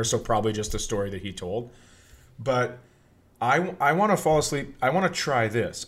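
A middle-aged man chatting as a podcast host, spinning casual comic anecdotes. His pitch sits in an average male range, and he has a joking, teasing manner.

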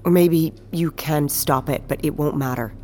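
A woman's voice: deep voice, moderate pace, mellow, a little hiss or hum, natural voice. An actress playing a blunt, skeptical young woman held by radicals in an audio drama, talking casually and challenging. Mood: wary, skeptical.

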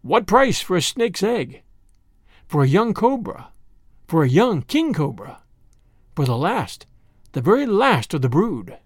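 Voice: mid-range voice, big pitch swings, a little slow, long pauses, dry recording, clean studio sound. A narrator voicing a fierce, taunting male mongoose fighter in a cocky, challenging way, for a storybook.

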